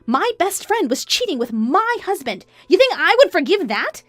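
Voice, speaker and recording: Woman playing a fed up scornful wife, sarcastic, high voice, dramatic storytime. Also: sing-song, moderate pace, dry recording, soft background music. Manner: sarcastic, forceful. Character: indignant, scornful betrayed wife and mother.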